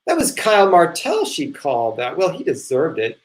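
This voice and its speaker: A man performs an impatient, remorseful waiter in a play reading. His fairly high voice moves in lively swings as he explains himself haltingly and urgently. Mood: explaining. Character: earnest, impatient waiter seeking forgiveness.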